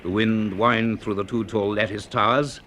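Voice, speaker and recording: A reserved male professor performed by an actor in a science fiction radio drama. His voice sits fairly low and his delivery is formal, measured and literary. Faint noise runs through the recording. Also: steady tone, a bit bright, natural voice. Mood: grave, descriptive. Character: reserved, brisk male university professor, drily storytelling. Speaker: narrator and lead character. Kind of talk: BBC radio drama acting and narration.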